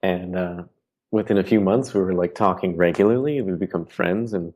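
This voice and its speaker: A very deep-voiced man speaks as a guest on a podcast. He is an artist who talks in an earnest, philosophical way, reflecting thoughtfully in casual conversation. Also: normal speed, darkish tone.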